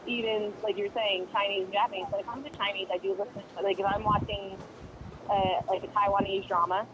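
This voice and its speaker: A woman's voice: mid-range voice, flat delivery, a little fast, background noise. A woman co-hosting a podcast, chatting casually and rambling with opinions and fillers. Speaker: podcast co-host. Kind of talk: casual chat.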